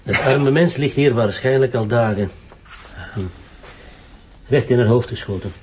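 An actor playing a calm, matter-of-fact police detective. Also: male voice, medium pitch, a little slow, close to an everyday voice. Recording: dull lo-fi TV soundtrack with background noise.

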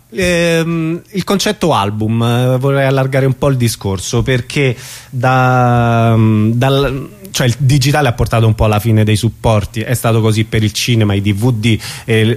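A man's voice: mid-range voice, darkish tone. A man hosting a casual radio interview, phrasing his questions hesitantly in short pieces.

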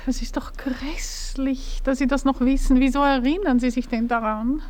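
A woman's voice: high-pitched, fairly even intonation, normal speed, darkish tone, close to an everyday voice. An actress playing a nervous noble lady of the house in a radio play, often half-whispering. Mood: flustered.

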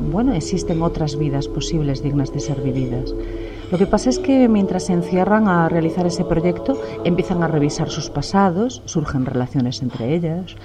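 A middle-aged woman from Galicia, a novelist answering interview questions in a measured, reflective way, pausing often with "eh". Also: low voice. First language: Spanish. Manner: measured, reflective, many "eh" pauses.

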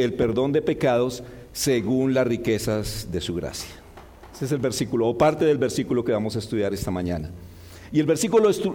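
Slightly hoarse preacher in a reverberant hall. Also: male voice, a little high, a little mellow. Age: middle-aged. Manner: didactic, repeats and lists key points in measured phrases. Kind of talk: expository Bible sermon. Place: large church hall.

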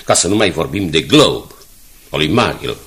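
An actor playing a proud, principled newspaper director in a radio drama, speaking firmly and formally. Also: male voice, rumbling low, sing-song, fairly bright tone, full character voice. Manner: firm, dignified, formal.

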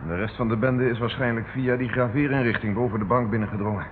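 An actor in a radio drama playing a calm, methodical police inspector with a businesslike, questioning manner. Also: male voice, medium pitch, fast talker, close to an everyday voice. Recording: tinny, noisy old radio recording.

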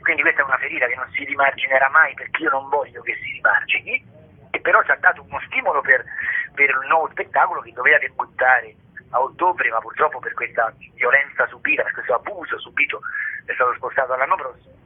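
Middle-aged or older man, combative ironic interviewee, raspy voice over a narrow telephone connection. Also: medium pitch, quick pace. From Italy. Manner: polemical, ironic, emphatic. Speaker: theatre artist being interviewed. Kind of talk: radio interview. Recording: narrow tinny phone line.

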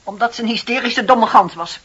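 An actress playing a stiff, haughty, indignant older office worker with a husky, rough voice in a radio play. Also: female voice, a little high, a little fast, fairly bright tone. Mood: scornful. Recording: dull old radio play recording.

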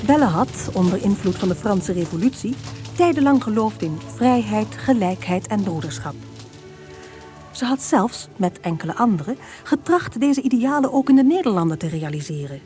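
Mellow female radio drama narrator. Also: medium pitch, lively intonation, normal speed, soft background music.

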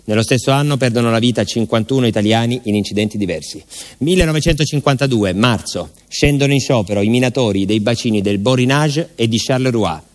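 A man giving solemn, measured, scripted documentary narration for radio theatre, with music behind him. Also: medium pitch. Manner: solemn, measured, deliberate phrasing. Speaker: actor-narrator.

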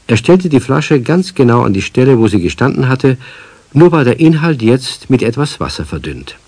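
A man as the narrator of a children's radio play, telling the story in a husky voice. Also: mid-range voice, very animated, moderate pace, a little mellow, dry recording. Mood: storytelling. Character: male storyteller of a children's tale.

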